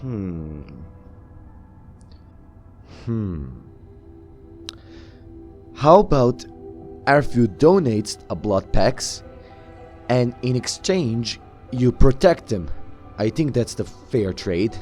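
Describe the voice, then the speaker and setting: Male gamer improvising in-character lines: dark, mellow tone, understated delivery, faint music behind. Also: mid-range voice, sing-song, drawn-out words, pauses often. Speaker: gamer roleplaying the player character. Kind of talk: improvised roleplay dialogue in a game video.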